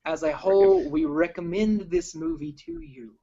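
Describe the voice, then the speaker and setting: A young man chatting casually as an amateur film critic, opinionated and a bit halting in delivery. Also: very high voice, big pitch swings, creaky, lo-fi sound, crowd noise. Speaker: amateur film critic on a group review show. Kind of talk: casual review chat.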